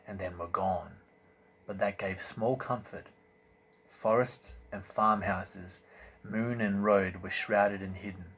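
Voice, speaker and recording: Low-voiced man narrating a fantasy novel. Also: a bit bright, rough recording. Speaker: audiobook reader, host. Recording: amateur recording with faint background noise.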